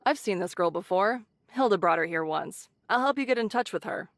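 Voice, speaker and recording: A woman voicing a caring, brisk shelter leader who scolds in a motherly, teasing way, in an English anime game. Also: medium pitch, lively intonation, dry recording, clean studio sound, lightly acted. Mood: businesslike.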